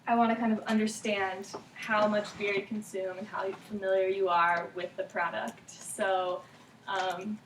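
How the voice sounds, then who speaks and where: A woman's voice: mid-range voice, little pitch movement, measured pace, a little hiss or hum. Hesitant young woman moderating a focus group. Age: 20s. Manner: hesitant, many ums. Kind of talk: scripted moderating, reading rules. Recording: echoey room, far from the mic.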